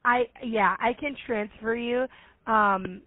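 A woman's voice: a little high, fairly even intonation, slow, no room sound. A woman on a telephone line handling a customer call, polite and hesitant. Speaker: phone receptionist. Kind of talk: unscripted customer service call. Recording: narrow, muffled telephone line.

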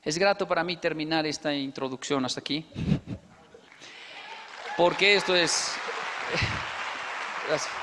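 A Colombian man in his thirties giving a lively stage talk into a handheld microphone, telling stories and acting out the dialogue. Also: a little high, over a noisy crowd, very noisy. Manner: lively, acts out dialogue with quick asides. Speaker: motivational speaker. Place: large indoor stage hall. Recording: long hall reverb.

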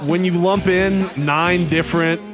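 A man's voice: sits high, steady tone, a little slow. A man hosting a casual sports and comedy radio show, talking emphatically and repeating words, close on a studio microphone. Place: small studio with acoustic foam. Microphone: close studio microphone with headset. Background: music.

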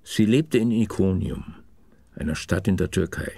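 A man's voice: sits low, moderate pace, vocal fry, darkish tone, dry recording, studio quality. An actor playing a kindly father who explains saints' stories in a scripted audio drama. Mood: kindly, explaining.

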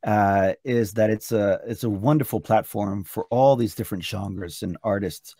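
A middle-aged man with a Norwegian accent, a bit hoarse, chatting eagerly in an interview over a video call with many restarts. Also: on the low side, moderate pace, dark tone, dry recording, clean studio sound. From Norway. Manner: chatty, enthusiastic, frequent restarts. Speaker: singer and contest entrant. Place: hotel room indoors.

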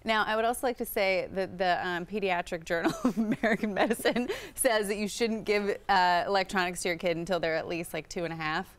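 Woman in her thirties, mid-pitched, chatty, laughs a lot, TV studio, lapel mic. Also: steady tone, a little fast, few pauses. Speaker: tech segment guest. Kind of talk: casual TV studio chat. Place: TV studio set on a couch.

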